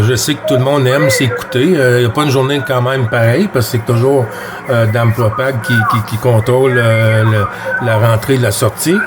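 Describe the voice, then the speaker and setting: A man with a Quebec French accent talks in a casual, rambling way. He sounds like a radio hobbyist hosting a chat from home, with clear noise around his mid-pitched voice. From Quebec, Canada. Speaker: CB radio operator and video host. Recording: clearly noisy home radio-room recording.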